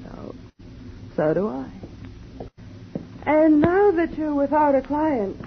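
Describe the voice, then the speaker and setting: Sardonic young woman writer, lively pitch, muffled old radio, faint hiss. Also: exaggerated voice. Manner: sardonic, quick-witted. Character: sharp-tongued young woman pulp writer. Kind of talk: 1950s radio drama acting.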